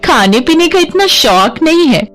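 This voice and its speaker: Lady doing a greedy cartoon mother in law, coy n wheedling, highish voice, music under. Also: big pitch swings, a little slow, fairly bright tone, exaggerated voice. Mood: coy, pleased. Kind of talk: cartoon voice acting.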